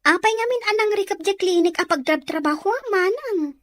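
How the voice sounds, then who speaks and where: A woman as a high-voiced younger sister. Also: moderate pace, no echo. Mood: complaining, concerned. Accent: Ilocano. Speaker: radio drama actor. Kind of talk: Filipino radio drama dialogue.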